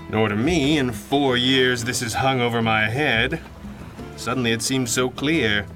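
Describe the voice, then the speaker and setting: An actor playing a confident, wry masked crime-fighter in a pulp radio drama, measured and dramatic. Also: male voice, medium pitch, natural voice.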